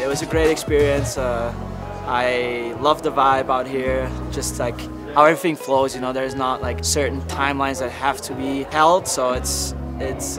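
Relaxed young male ski racer interview. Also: on the high side. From Belgium. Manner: relaxed, rambling with many fillers and restarts. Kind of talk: casual interview. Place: large indoor hall.

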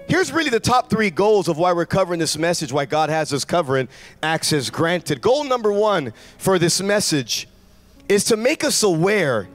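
A man in his forties preaching a sermon in a large church, speaking into a handheld mic with fervent, shouting delivery. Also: high voice. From United States. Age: 40s. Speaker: pastor. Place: large church stage with congregation.

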